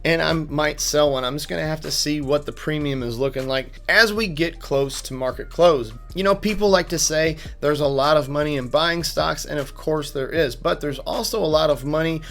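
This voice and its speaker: A man's voice: fairly high pitch, normal speed, hardly any pauses, soft background music. A man who is slightly losing his voice, giving casual, emphatic stock market commentary as a channel host. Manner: chatty, emphatic, repeats words for stress.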